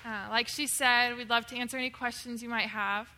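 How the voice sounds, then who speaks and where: A woman's voice: on the high side, fairly even intonation, a little fast, pushed and bright, a little hiss or hum. Upbeat young woman hosting a Q&A. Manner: upbeat, chatty, many fillers. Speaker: event host. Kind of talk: hosting audience Q&A.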